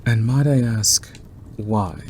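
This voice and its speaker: A predatory male werewolf played by a man murmurs to the one he is obsessed with in an ASMR roleplay. His voice is very low and takes its time, teasing and softly menacing, with clear ambient noise behind it. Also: slow pace. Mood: intrigued. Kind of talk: ASMR roleplay monologue to the listener. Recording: clearly noisy background ambience.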